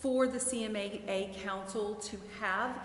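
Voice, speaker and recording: A woman giving a careful, deliberate and formal explanation as a legal advisor at a public council meeting. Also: medium pitch, steady tone. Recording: echoey hall, clear background noise.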